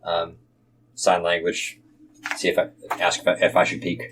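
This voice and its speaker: A man's voice: low-pitched, monotone, moderate pace, fairly bright tone, rough recording. A man talking casually as a player in a tabletop role-playing game, saying what his character will do. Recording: clear ambient background noise.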